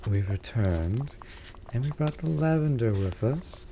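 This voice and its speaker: Guy doing a teasing winged familiar for fantasy roleplay, unhurried delivery, dramatic, formal wording, clear background noise. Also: mid-range voice, expressive, mellow. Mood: gentle, coaxing. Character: wry, put-upon winged animal familiar of a mage. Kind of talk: fantasy audio roleplay acting.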